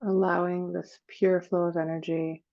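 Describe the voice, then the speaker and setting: A woman leading a guided meditation in a slow, soothing manner, with long pauses between phrases. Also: fairly low pitch, level tone, dull audio.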